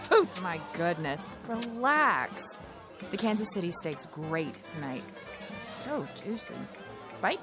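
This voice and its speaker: A woman's voice: lowish voice, big pitch swings, slow. An actress in a radio drama playing a flippant, flirty, party-loving wife who talks in period slang, with music behind her. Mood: giddy.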